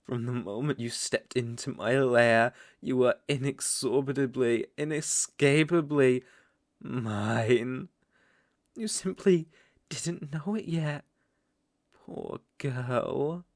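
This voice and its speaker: A man's voice: medium pitch, takes it slowly, no room sound, clean studio sound. A British man playing a seductive, domineering vampire lord in an intimate ASMR roleplay, with teasing, ornate, condescending speech. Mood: amused, gloating.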